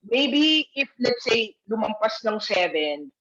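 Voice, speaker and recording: Animated Filipino woman on a dull call. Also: on the high side, slow pace, a crowd in the background. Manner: emphatic, repeats phrases. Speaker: organizer leading an online rehearsal. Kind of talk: casual group discussion in Taglish. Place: video call. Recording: dull, lo-fi video call audio.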